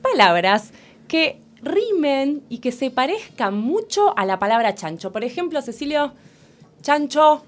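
Woman teacher, Rioplatense accent, very animated pitch, playful and coaxing with kids. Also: a little slow. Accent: Rioplatense Spanish. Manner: lively, laughs often, coaxing children. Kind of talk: playful educational broadcast chat.

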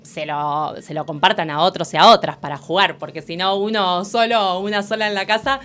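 A woman's voice: fairly low pitch, steady tone, brisk, fairly bright tone. A woman teacher with a Rioplatense Spanish accent, chatting playfully on an educational broadcast, laughing often and coaxing children to join in.

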